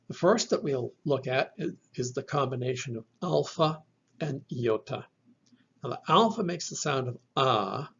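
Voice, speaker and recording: A man's voice: medium pitch, vocal fry, slightly rough, dull audio. A man giving a recorded lecture on Greek pronunciation, methodically repeating each sound for the listener. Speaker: Greek language teacher.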